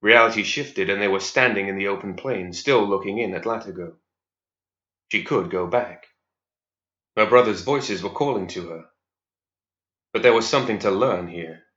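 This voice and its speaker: A man reading fantasy fiction as scripted narration in a measured, serious storytelling manner. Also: lowish voice, sharp tone. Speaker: story reader. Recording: roomy, slightly dull recording.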